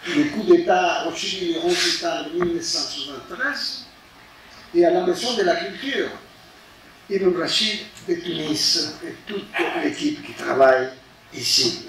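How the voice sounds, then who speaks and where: Slow older man in an echoey hall. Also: a little high, fairly bright tone, recorded from a distance, a little hiss or hum. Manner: halting, searching for words. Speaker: guest speaker, former ambassador.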